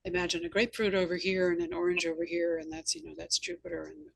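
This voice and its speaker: A woman's voice: on the low side, level tone, fast, vocal fry. A woman hosting a conversational interview, chatting and sharing anecdotes. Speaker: interview host and novelist.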